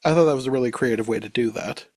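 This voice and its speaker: A man's voice: mid-range voice, racing, darkish tone, no room sound, studio quality. A man talking casually as a podcast co-host, giving his thoughts on a show. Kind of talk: casual chat, review.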